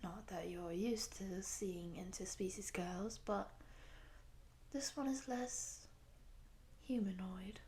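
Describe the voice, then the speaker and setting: A woman playing a polite exchange program host in a roleplay, explaining and gently cautioning in a soft, breathy whisper. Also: mid-range voice, slow pace, pauses often, slight background noise, lightly acted. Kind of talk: whispered roleplay audio addressed to the listener.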